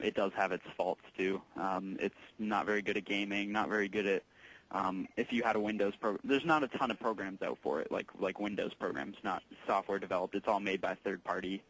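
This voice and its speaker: A man working as a tech support employee on a call, explaining things patiently in a halting, informal way. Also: low-pitched, steady tone, fairly bright tone, breathy edge, rough recording. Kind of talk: casual explanatory phone conversation.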